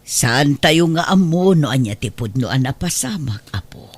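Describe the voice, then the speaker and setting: A woman's voice: rumbling low, expressive, normal speed, exaggerated voice. A woman playing a worried, pleading elderly mother with an Ilocano accent in a radio drama. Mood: soothing. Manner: pleading, admonishing.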